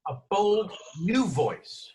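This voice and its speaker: A man's voice: high voice, very animated, drawn-out words, a little mellow, heavily acted. An actor playing a confident, breezy male studio colleague in a screenplay table read.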